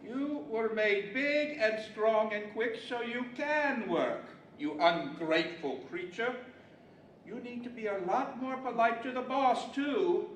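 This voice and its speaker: An echoey, distant stage recording of an older man as a pompous djinn who rules the deserts. He speaks high and slowly, scolding like an imperious boss in old-fashioned radio theater. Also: lively intonation, full character voice. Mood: stern, scolding. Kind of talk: 1940s-style radio theater acting. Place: large library event room, live stage. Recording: distant, echoey live hall with faint noise.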